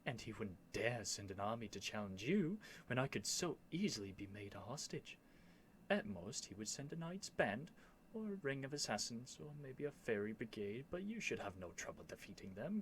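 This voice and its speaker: A man's voice: mid-range voice, very animated, normal speed. A man playing a young royal prince in a scripted ASMR roleplay, earnest and lovestruck, speaking in formal, courtly phrases with haughty airs and flustered hesitations. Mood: persuasive. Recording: faint background noise.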